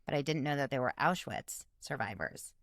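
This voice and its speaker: A woman co-hosting a podcast, asking lively, curious questions in casual conversation. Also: very deep voice, normal speed, no room sound, studio quality. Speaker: podcast co-host. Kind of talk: casual interview.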